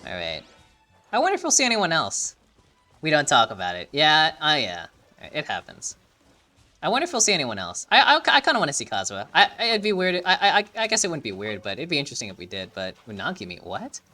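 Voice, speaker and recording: A woman with an unusually deep voice gives let's-play commentary while she plays. Her tone is bright and lively, rising and falling a lot, and she stammers through her thoughts and swears casually. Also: fast talker, faint music behind. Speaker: gaming streamer.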